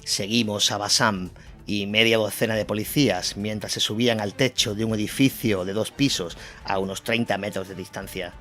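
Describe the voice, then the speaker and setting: A man's voice: on the low side, steady tone, normal speed, a bit bright. A man from reading a scripted documentary war narration as the narrator of an audio drama. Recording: music bed under the voice.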